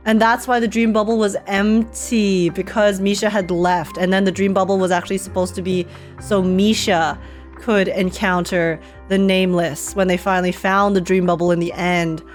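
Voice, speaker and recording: A woman's voice: medium pitch, level tone, moderate pace. A woman with a mid-range voice gives live, informal reaction commentary. She rambles and thinks aloud in a conversational way, with faint music playing behind her. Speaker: streamer reacting to a game. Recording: game music in the background. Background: video game music and dialogue.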